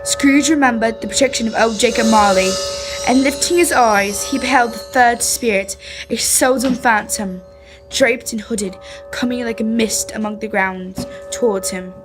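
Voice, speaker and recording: A woman's voice: on the high side, moderate pace, over music, low-quality recording. A young woman reading scripted narration for a radio drama.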